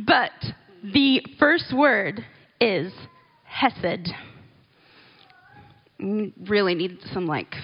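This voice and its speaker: A woman giving a relaxed, conversational Bible teaching, with small laughs and asides as she explains scripture. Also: medium pitch, muffled recording. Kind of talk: relaxed church teaching talk.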